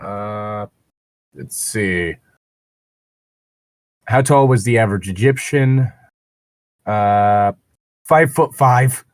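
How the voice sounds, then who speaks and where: A man's voice: lowish voice, very slow, long pauses, dry recording, clean studio sound. A man reading a story aloud and breaking off into wry, sweary, rambling casual commentary as co-host. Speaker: co-host of a fan fiction reading show.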